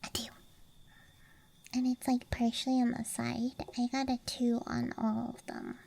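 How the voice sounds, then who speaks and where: A woman whispering softly and breathily in an ASMR style, murmuring gently as if to herself, close to the microphone. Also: a little high, little pitch movement, a little slow, vocal fry. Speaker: ASMR streamer playing a dice goblin persona. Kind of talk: ASMR, soft whispering to the listener.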